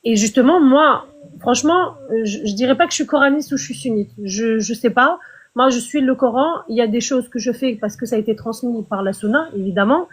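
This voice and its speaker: A woman with a husky, slightly high voice argues her side over an online live-stream call. She keeps stumbling and restarting her words, yet stays earnest and insistent. The talk is loose and conversational. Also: fairly quick. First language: Arabic. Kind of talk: heated casual debate.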